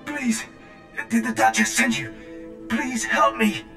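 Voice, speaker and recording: Anguished soldier stuck inside a droid, high robotic voice, begging, echoey n far from mic. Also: male voice, takes it slowly, full character voice. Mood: anguished pleading. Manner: pleading, anguished, breaking into flat system announcements. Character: tormented captive soldier trapped inside a droid body. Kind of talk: video game cutscene acting. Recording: echoey, distant, music underneath.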